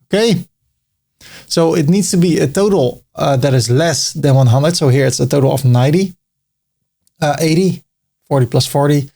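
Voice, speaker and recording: Dutch-accented man with a gravelly voice. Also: a little high, normal speed, pauses often, mellow. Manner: thinks aloud while clicking, repeats words, dry jokes. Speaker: web design tutor. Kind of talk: screen-recorded software tutorial.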